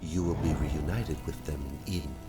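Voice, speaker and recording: An actor playing a calm, solemn machine guide giving formal, measured mission briefings in a game. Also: male voice, rumbling low, loud music behind the voice. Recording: loud background noise and ambience.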